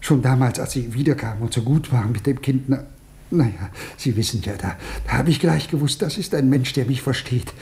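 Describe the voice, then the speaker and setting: Actor as a humble old father, creaky and mellow. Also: medium pitch, brisk, natural voice. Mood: grateful. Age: older. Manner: hesitant, apologetic, earnest. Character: humble, anxious old father, devoted and pleading. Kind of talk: dramatic German radio play acting.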